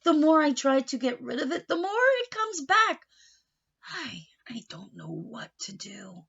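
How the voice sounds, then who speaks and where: A woman's voice: high-pitched, very animated, measured pace, creaky, a bit bright, highs cut off, pitched above the performer's own voice, heavily acted. A woman voicing a weary, exasperated grown man from a storybook, with an emphatic, fretful manner.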